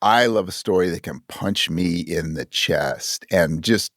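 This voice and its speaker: A man's voice: low-pitched, measured pace, a bit bright, no echo, clean studio sound. A man speaking as an interview guest, conversational and thoughtful, often searching for words and correcting himself. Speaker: interview guest, audio drama writer.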